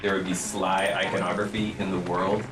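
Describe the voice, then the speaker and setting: A man's voice: sits low, flat delivery, fairly quick. A man giving casual developer commentary over gameplay, cracking dry, wry jokes. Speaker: game developer. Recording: roomy, distant mic, game music behind.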